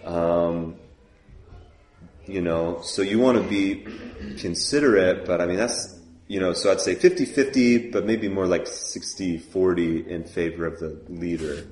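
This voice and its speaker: A man's voice: sits low, a little slow, a little mellow, echoey room. A man who leads worship, talking casually and loosely about song keys, with many fillers. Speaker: worship leader teaching. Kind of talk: casual teaching talk.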